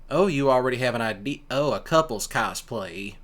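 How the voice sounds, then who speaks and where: A man's voice: medium pitch, expressive, fairly bright tone, natural voice. A man playing an easygoing, affectionate country boyfriend in an ASMR roleplay, chatting casually and teasingly with his sweetheart. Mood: amused, curious. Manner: casual, teasing, folksy, with pauses. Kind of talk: ASMR boyfriend roleplay, one-sided conversation.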